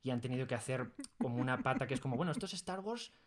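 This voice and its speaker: A man's voice: medium pitch, animated, racing. A man with an accent from Spain chatting casually as a studio interview guest, rambling enthusiastically. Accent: Spanish from Spain. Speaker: interview guest, audio drama creator. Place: recording studio.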